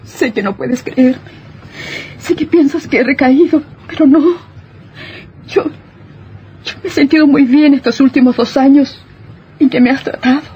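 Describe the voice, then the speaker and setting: A woman playing a fragile, guilt-ridden young wife, halting and anguished, in a radio melodrama. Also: sits high, mellow, dull audio. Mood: anguished confession.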